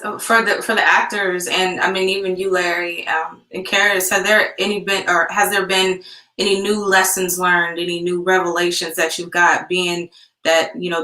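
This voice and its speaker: A woman moderating a live Q&A casually, pausing mid-question, with a roomy, echoing sound. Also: fairly low pitch, flat delivery, pushed and bright. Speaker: host, moderator.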